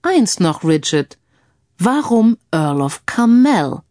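Woman playing a cheeky, excitable male detective. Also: lowish voice, slow, no echo, clean studio sound. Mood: teasing.

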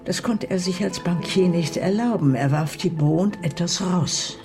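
A woman acts out a bitter, grieving mother in a Western radio drama. She tells her story gravely and with emotion, with music playing clearly behind her. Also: on the low side, normal speed, lightly acted. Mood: bitter recounting. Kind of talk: German radio play acting.

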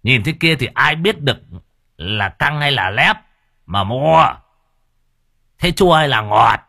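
A man's voice: mid-range voice, expressive, pushed and bright, no echo, clean studio sound, pitched above the performer's own voice, exaggerated voice. A man playing a lecherous old village official who drawls and leers. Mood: leering. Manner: drawling, leering, drawn-out words.

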